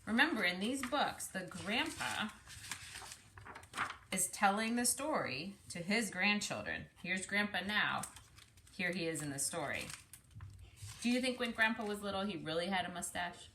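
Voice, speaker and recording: A woman's voice: mid-range voice, expressive, measured pace, background noise. A woman reading a picture book aloud in a teacherly way, explaining things and putting questions to the young listener. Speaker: speech-language pathologist reading aloud to children.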